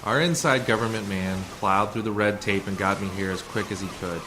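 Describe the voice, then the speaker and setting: A man playing a calm, assured undercover rescuer in a sci-fi radio play, speaking in a measured, explanatory way. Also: on the low side, lightly acted. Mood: calm, explaining. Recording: noisy community radio drama recording.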